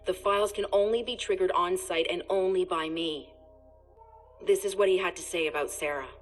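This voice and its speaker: An actress playing a composed, secretive intelligence operative in a video game, terse and matter-of-fact. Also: female voice, lowish voice, fairly even intonation, normal speed, faint music behind, lightly acted. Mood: guarded. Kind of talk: video game cutscene acting.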